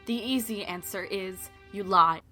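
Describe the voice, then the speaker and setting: A woman's voice: mid-range voice, measured pace, sharp tone, faint music behind. A young woman playing an emotionally invested, digressive narrator of a romance in an audio drama. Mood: reflective.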